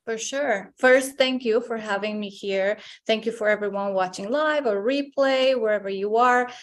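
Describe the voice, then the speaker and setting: A woman's voice: on the high side, normal speed. A woman from Romania, a guest coach giving earnest, encouraging interview answers and speaking straight to the listeners.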